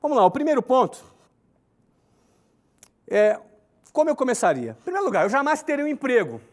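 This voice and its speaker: A man's voice: very high voice, brisk. A man in his forties giving a conversational talk, often checking in with tag questions and listing points in an emphatic rhythm. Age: 40s. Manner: emphatic, lists steps in a lively rhythm. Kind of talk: recorded talk to an online audience.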